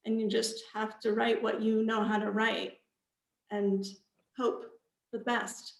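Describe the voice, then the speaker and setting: A woman author giving a casual, reflective panel answer, building her thoughts haltingly in short measured phrases. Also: a little high, flat delivery, gravelly. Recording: echoey, roomy sound.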